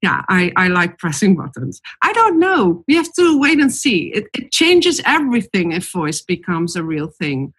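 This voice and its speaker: A woman's voice: lowish voice, very animated, normal speed, hardly any pauses, fairly bright tone, slightly rough. A woman with a Dutch accent, a company CEO speaking frankly and matter-of-factly as a panel guest. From Netherlands. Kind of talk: panel discussion.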